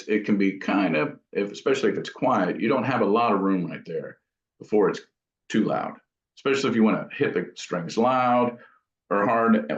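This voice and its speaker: A man giving loose, meandering explanations in a casual interview as the guest. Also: on the low side. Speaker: guitar amp builder and guest. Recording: dull, roomy, echoing audio.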